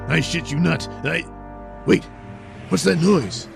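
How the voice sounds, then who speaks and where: A man's voice: fairly high pitch, slow, creaky, music in the background, voice pushed up, full character voice. A man voicing a gloating mad-scientist villain in a comic audio play, with a theatrical manner. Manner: gloating, theatrical, panics into shrieks. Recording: noisy home recording.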